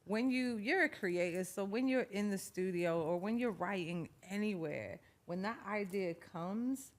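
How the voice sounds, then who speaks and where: Animated woman telling a story. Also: mid-range voice, unhurried. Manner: animated, acts out quotes, laughs often. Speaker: singer-songwriter guest. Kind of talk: casual interview storytelling.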